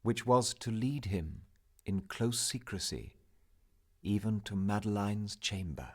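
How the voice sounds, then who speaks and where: A man with a lowish voice recites narrative poetry very slowly. His delivery is theatrical and measured, swelling on some phrases and dropping to a hush on others.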